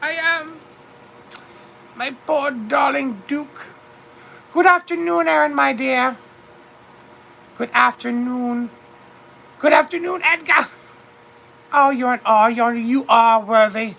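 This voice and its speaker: A woman playing a grand, gravelly British duchess. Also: sits high, slow pace, long pauses, fairly bright tone, slight background noise, heavily acted, poor audio. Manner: theatrical, grand, calls everyone darling. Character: vain widowed duchess, woman in her fifties. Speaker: livestream host. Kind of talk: in-character livestream chat.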